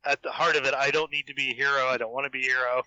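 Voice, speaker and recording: Man, bright voice, casual podcast guest, dry close sound, slightly muffled call audio. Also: mid-range voice, fairly even intonation, rapid-fire, no echo. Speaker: podcast guest, fan fiction author. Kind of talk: casual group podcast chat.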